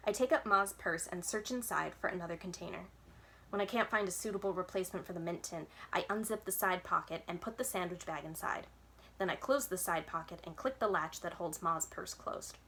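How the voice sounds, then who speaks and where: A woman's voice: lowish voice, a little fast, fairly bright tone. A woman narrating a children's story as a library storyteller, reading from the text. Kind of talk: children's book read-aloud, scripted narration.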